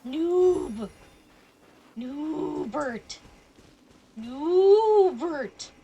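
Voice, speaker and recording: A woman's voice: high voice, expressive, very slow, vocal fry, mellow, dull audio. A woman live streamer giving casual, playful, teasing commentary over a video game.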